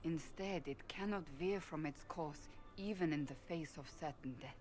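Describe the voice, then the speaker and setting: Resolute, earnest warrior woman for a fantasy game, played by an actress; low, formal, measured delivery. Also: a little mellow, soft background music, natural voice. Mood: solemn conviction. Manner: formal, measured, declarative speech. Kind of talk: dramatic video game dialogue.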